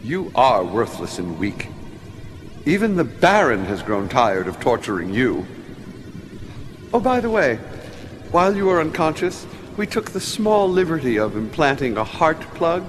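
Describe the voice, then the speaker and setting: A man playing a sly, menacing advisor to a villainous lord in a video game cutscene, with theatrical, deliberate delivery. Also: medium pitch, big pitch swings. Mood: sneering menace. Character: sly, menacing male Mentat advisor, servile to his lord. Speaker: scheming advisor to a villainous baron. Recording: long reverb, loud music underneath.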